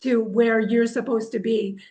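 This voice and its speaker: Female podcast host, slightly high voice, conversational interviewer's delivery. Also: fairly even intonation, measured pace, dark tone, lo-fi sound.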